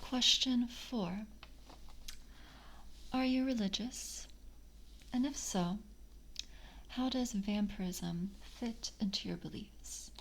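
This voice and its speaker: Casting: woman as courteous vampire coven interviewer, ASMR roleplay, soft half-whisper, unhurried delivery, slight background noise. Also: medium pitch. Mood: polite, measured. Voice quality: soft, breathy, often whispered. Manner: hushed, halting, formally courteous. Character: polite vampire coven member screening a candidate.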